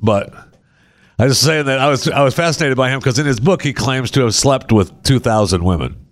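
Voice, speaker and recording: A man hosting a talk radio show, telling a story in casual banter and working through the numbers slowly with dry humor. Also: mid-range voice, dry recording. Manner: wry, deadpan storyteller who walks through numbers slowly.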